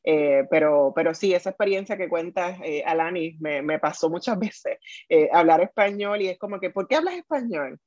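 A woman's voice: on the low side, expressive, moderate pace, darkish tone, dry recording. Puerto Rican woman hosting, dry muffled sound. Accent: Puerto Rican Spanish. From Puerto Rico. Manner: thoughtful, frequent tag questions and fillers. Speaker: podcast host. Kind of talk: conversational interview.

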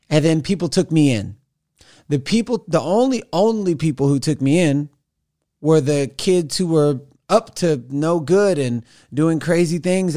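A man of forty, a podcast guest, telling his story in an animated, conversational way with frequent restarts. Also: fairly high pitch. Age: 40s. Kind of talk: conversational interview.

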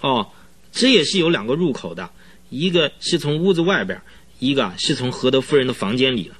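An actor playing an agitated, talkative male client who recounts eerie events in a halting, rambling way in a radio drama, with a husky voice. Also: mid-range voice, big pitch swings, a bit bright. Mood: earnest, puzzled. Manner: rambling, halting, full of interjections. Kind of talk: Chinese radio drama acting.

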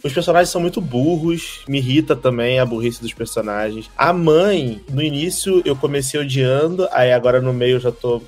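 A man's voice: medium pitch, normal speed, few pauses, darkish tone, faint music behind. A man with a Brazilian accent co-hosting a podcast, chatting casually and ranting with indignation, swearing freely. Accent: Brazilian Portuguese. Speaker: podcast co-host. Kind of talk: casual chat.

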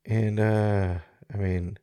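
A man in his forties from the Dominican Republic, hosting a reflective solo podcast. He talks in a halting, searching way, with many pauses and restarts, into a studio microphone. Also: on the low side, level tone, warm tone. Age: 40s. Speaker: podcast host. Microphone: studio microphone with mixer.

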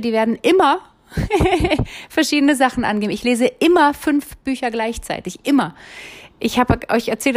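Chatty woman with a light Berlin accent. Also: medium pitch. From Berlin, Germany. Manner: chatty, rambling, many filler sounds. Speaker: vlogger. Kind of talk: casual personal monologue. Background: phone ringing.

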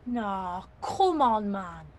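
A woman's voice: mid-range voice, sing-song, extremely slow, a little mellow. An actress playing a sulky, defiant nineteen-year-old girl in an audio play, blunt and slangy. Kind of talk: audio theatre acting.

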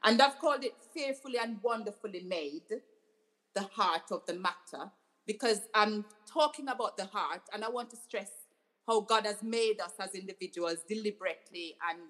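A middle-aged woman from Jamaica speaks as a doctor and author being interviewed. She is earnest and reflective, and she often restarts phrases as she explains things. Her voice sits at an ordinary middle pitch for a woman. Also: normal speed, fairly bright tone. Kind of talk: interview conversation.

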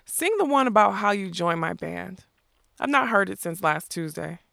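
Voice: medium pitch, animated, moderate pace, vocal fry, bright, forceful tone, no room sound, clean studio sound, full character voice. A reader voicing a boastful male outlaw captain from a storybook, declaiming in a grandiose, theatrical way. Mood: proud, eager.

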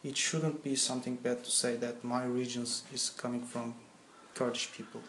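Young man, Turkish accent, non-native English, halting interview answers, roomy echo. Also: medium pitch, little pitch movement, fairly bright tone. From Turkey. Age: young adult. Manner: halting, searching for words in English. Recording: echoey room with faint ambient noise.

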